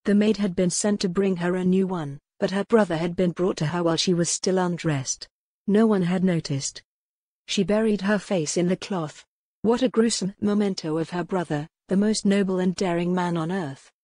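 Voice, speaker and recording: A woman narrating a historical romance audiobook in a measured, dramatic storytelling manner. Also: fairly low pitch, fairly even intonation, dark tone, no room sound, clean studio sound.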